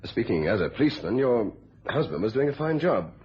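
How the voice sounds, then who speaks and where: A courteous British police inspector speaks quickly and formally, with a rough, husky edge. He is matter-of-fact and businesslike. The radio thriller recording sounds slightly dull. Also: mid-range voice, very animated, darkish tone. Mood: formal sympathy.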